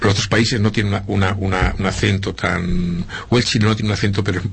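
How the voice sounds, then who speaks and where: Middle-aged Spanish politician, husky rough voice, reflective and halting in interview. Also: male voice, deep voice, little pitch movement. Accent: Spanish, with a slight Argentine lilt. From Spain. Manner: earnest, reflective, with frequent hesitations and repeats. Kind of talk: interview conversation.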